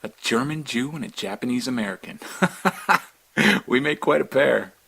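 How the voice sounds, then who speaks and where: An actor playing a polite, easygoing Japanese American rocket scientist with a gravelly voice. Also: male voice, mid-range voice, animated, moderate pace, a bit bright, full character voice. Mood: friendly.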